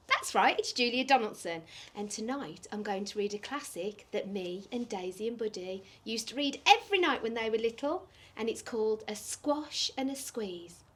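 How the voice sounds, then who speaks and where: A woman's voice: on the high side, animated, normal speed. A middle-aged woman, reading a bedtime story expressively for young children. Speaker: primary school storyteller.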